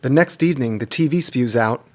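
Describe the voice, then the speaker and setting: A man's voice: medium pitch, fairly quick, a little mellow. A man reading a scripted children's story as its narrator. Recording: music behind the voice. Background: background music.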